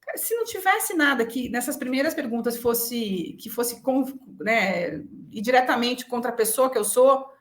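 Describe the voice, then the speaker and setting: A woman's voice: on the high side, animated, moderate pace, hardly any pauses. A Brazilian woman in her forties talking frankly and self-assuredly about herself as an interview guest, often checking in with short tags. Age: 40s. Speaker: interview guest, business executive. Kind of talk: conversational interview, personal reflection. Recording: roomy, echoing sound.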